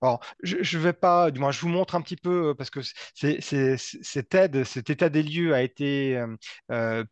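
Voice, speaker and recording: A man giving a semi-improvised technical presentation, speaking haltingly and often repeating and rephrasing his words. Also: on the high side, no echo, highs cut off. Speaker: presenter from a local energy body.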